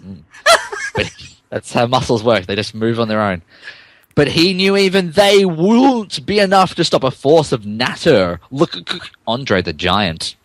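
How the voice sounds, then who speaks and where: A man's voice: mid-range voice, expressive, unhurried, slight background noise. A man co-hosting and narrating a comic dramatic reading, mock-dramatic and often stumbling over his words. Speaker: podcast co-host and narrator.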